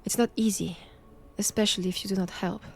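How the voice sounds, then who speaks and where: A woman with a French accent playing a stern, cold vampire-hunting nun in an intimate audio roleplay, measured and commanding. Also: medium pitch, steady tone, gravelly, a little hiss or hum, natural voice.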